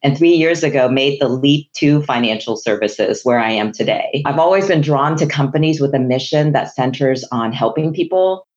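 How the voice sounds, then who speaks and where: A woman from Hong Kong whose first language is Chinese, a guest answering interview questions in a measured, businesslike way. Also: extremely low pitch, lively intonation, darkish tone, highs cut off. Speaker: guest, HR executive.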